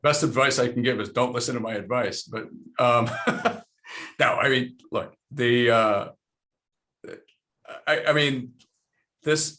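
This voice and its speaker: A man's voice: mid-range voice, sharp tone. A middle-aged man from Lebanon, a panel guest and investor, talking frankly in a casual, rambling way with many restarts.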